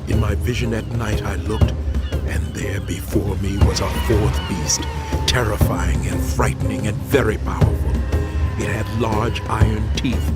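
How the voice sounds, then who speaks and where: Awed prophet, medium pitch, intense dramatic storytelling, audio Bible drama, very loud music underneath. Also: male voice. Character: awestruck prophet recounting a terrifying night vision.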